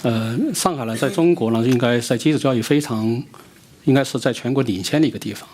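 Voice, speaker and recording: A man answering audience questions in a lecture room, speaking in short bursts that pause for an interpreter. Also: mid-range voice, darkish tone. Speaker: visiting education expert answering questions.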